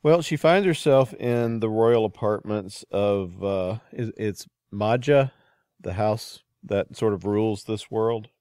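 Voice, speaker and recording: A man with a fairly low voice hosts a conversational podcast interview. He feeds prompts a little haltingly, and the sound is dry with almost no room echo. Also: darkish tone, clear, resonant tone.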